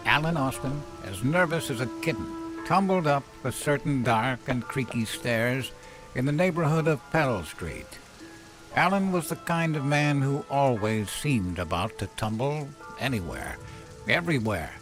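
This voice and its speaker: A man's voice: medium pitch, poor audio. A man narrating a scripted, noir-tinged story in a literary, atmospheric storytelling manner.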